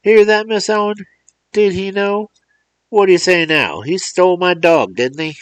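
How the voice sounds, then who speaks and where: A man voicing an angry old country man who yells accusations in rough rural grammar. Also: low-pitched, expressive, normal speed, dry recording. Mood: angry accusing.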